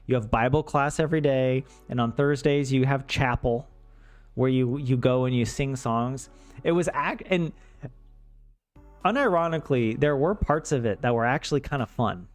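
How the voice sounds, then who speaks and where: A man's voice: mid-range voice, normal speed, no echo, soft background music. A man from the American South chatting casually on a livestream in a rambling, self-deprecating, joking way. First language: English. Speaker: streamer learning Finnish.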